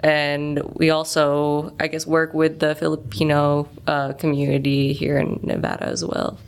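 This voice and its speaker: Young woman from Chicago, interview guest, deep voice, unhurried, soft-spoken. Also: completely flat delivery, slight background noise. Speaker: social media manager, guest. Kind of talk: casual studio interview.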